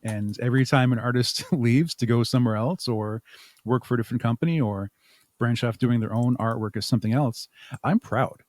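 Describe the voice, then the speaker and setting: A man's voice: medium pitch, brisk, darkish tone, no echo. A man speaking as an interview guest in a casual, reflective way, sometimes searching for his words. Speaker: podcast guest, haunt makeup artist and audio drama creator.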